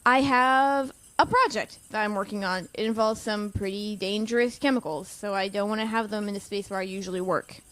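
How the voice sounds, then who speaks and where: An actress playing a kindly but reserved professor in an audio drama, caring and measured in manner. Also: female voice, mid-range voice, a bit bright, close to an everyday voice. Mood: apologetic but firm. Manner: caring, measured, calls listener dear. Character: kindly, reserved female professor, gently firm.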